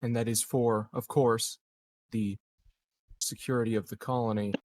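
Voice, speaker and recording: A man playing a calculating colonial leader in a tabletop game, speaking formally and haltingly like a careful politician. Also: medium pitch, flat delivery, darkish tone, natural voice. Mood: measured, guarded. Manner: formal, measured, halting diplomatic phrasing. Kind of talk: improvised tabletop roleplay dialogue.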